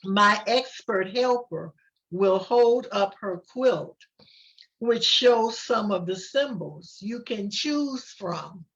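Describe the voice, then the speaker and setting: A woman reading the part of a proud, kindly grandmother who gently explains things to children in a storybook. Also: medium pitch, lively intonation, slow, dull audio. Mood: gracious. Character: proud, kindly Ghanaian grandmother visiting a classroom.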